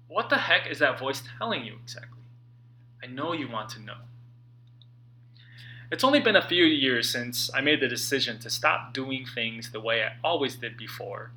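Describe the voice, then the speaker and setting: A man in his late thirties narrates his own motivational book. His voice sits at a medium pitch with a very bright, crisp edge. He speaks as if directly to the listener, earnest and conversational, leaving dramatic pauses between phrases. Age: late 30s. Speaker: author reading own book. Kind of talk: personal audiobook narration, motivational.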